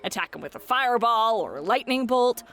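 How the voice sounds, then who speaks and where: A woman narrating a fantasy roleplay as game master, in a playful, teasing, expressive manner. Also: a little high, fairly quick.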